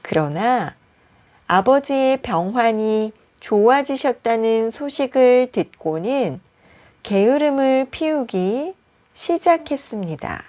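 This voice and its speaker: Slow, deliberate female storybook narrator. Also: fairly high pitch, animated, a little mellow. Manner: deliberate, careful phrasing with frequent pauses. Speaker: Korean teacher reading a story. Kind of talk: bedtime storybook narration for learners.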